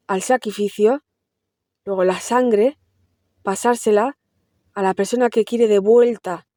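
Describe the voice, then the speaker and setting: A woman's voice: medium pitch, mellow, no echo, clean studio sound. A woman explaining a film plot to her viewers in a casual, conversational way. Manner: explanatory, talks directly to viewers with frequent restarts. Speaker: film reviewer. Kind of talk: casual film analysis to camera.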